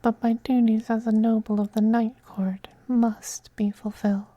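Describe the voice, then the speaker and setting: An actor voicing a possessive, doting male vampire noble, a femboy lover, in a soft, breathy, whispered ASMR roleplay with a coaxing, tenderly domineering manner. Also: medium pitch, little pitch movement, normal speed, no echo, highs cut off, close to an everyday voice. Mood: tender, soothing.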